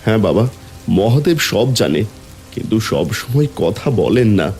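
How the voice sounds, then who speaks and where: A man's voice: fairly low pitch, big pitch swings, unhurried, darkish tone, faint music behind. A man voicing an anxious, devoted uncle who guards an orphaned child in an audio story, emotional and protective. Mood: tender.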